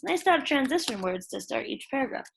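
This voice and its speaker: A woman's voice: high-pitched, very animated, fast, creaky, background noise. A woman teacher walking pupils through a screen-share tutorial, instructing them informally step by step. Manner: instructional, addresses children informally as "you guys". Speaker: elementary school teacher.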